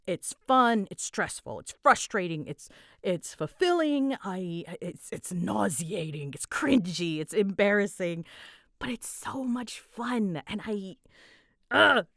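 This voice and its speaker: A woman's voice: mid-range voice, animated, takes it slowly, a bit bright, no room sound, studio quality. A woman chatting casually and animatedly as she answers listener questions, with a giggly, self-deprecating manner. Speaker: hobbyist audio roleplay creator answering listener questions.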